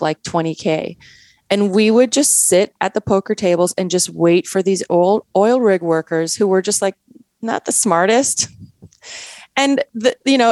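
Guest: woman, 40s, Canadian accent, rambling chatty delivery, mid pitch, dry close recording. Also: darkish tone, dry recording. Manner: chatty, many fillers and restarts. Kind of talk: casual interview talk.

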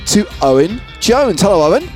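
A man's voice: high voice, big pitch swings, brisk, a little mellow, faint music behind. A man hosting a podcast in a conversational style. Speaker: podcast host.

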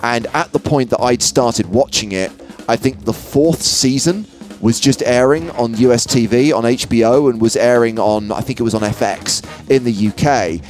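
A man's voice: mid-range voice, normal speed, a little mellow, faint music behind. A man hosting a podcast in a conversational style. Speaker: podcast host.

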